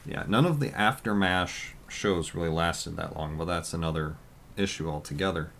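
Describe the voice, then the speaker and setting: A man with a deep, low voice chats loosely on a podcast. He talks loudly and wanders through his points with plenty of fillers. A faint hiss of noise sits under the recording. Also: normal speed, creaky. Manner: loud, rambling with many fillers. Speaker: podcast co-host. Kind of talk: casual podcast chat.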